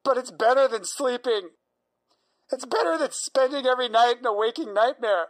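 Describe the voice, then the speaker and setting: A woman playing an exhausted, terrified insomniac patient in an audio drama, halting, anxious and pleading. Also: a little high, lightly acted, low-quality recording. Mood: desperate.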